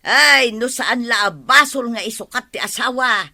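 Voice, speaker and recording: A woman's voice: on the high side, moderate pace, pushed and bright. An actress playing a fed-up village wife who scolds her hot-tempered husband, in a radio comedy drama. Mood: exasperated. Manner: scolding, exasperated.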